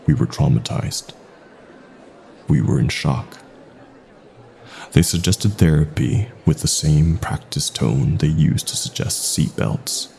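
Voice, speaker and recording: A man with an extremely low voice narrates a horror tale at a slow pace. His delivery is dramatic and deliberate, with pauses that let each short phrase land. The tone is gravelly with fry at the edges. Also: warm tone. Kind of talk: scripted horror narration, first person.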